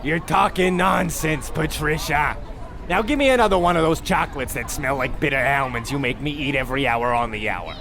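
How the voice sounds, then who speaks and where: A man's voice: a little high, fairly quick, music in the background, crowd noise, natural voice. An actor playing a pompous, oblivious husband in a comedic radio play, blustering theatrically at his wife. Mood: dismissive, pompous. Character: pompous, oblivious, bickering American husband on holiday.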